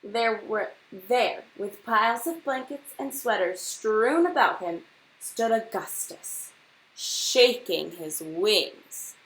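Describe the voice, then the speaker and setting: A woman reading a children's storybook aloud like a teacher to a class, expressively and deliberately, putting questions straight to her young listeners. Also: mid-range voice, expressive, fairly bright tone, low-quality recording.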